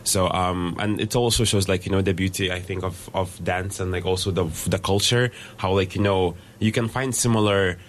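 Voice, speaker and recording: Young man, low and animated. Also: moderate pace, few pauses, slight background noise. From Lithuania. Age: young adult. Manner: animated, loose phrasing with many fillers. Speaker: guest. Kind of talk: casual radio interview.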